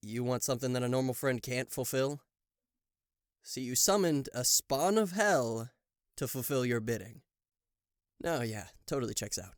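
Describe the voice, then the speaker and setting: A man's voice: mid-range voice, normal speed, pauses often, no echo, clean studio sound, lightly acted. A man voicing a theatrical, sarcastic demon king of hell in a roleplay audio drama, proud and showy in manner. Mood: sarcastic, incredulous. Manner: sarcastic, showy, stammering in disbelief. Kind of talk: roleplay audio drama acting addressed to the listener.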